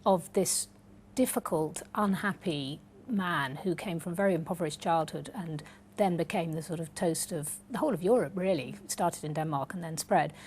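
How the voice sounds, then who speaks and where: A woman's voice: on the low side, a little mellow. A woman giving conversational opinions as a guest in a book discussion, with faint noise behind her.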